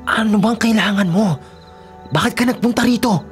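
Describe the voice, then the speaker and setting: A man plays an earnest young boyfriend in a radio drama, uneasy and easily smitten. His voice is on the high side, expressive, with faint music underneath. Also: normal speed, lightly acted. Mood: alarmed, suspicious. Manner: expressive, stammers when startled. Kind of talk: radio drama acting with inner monologue.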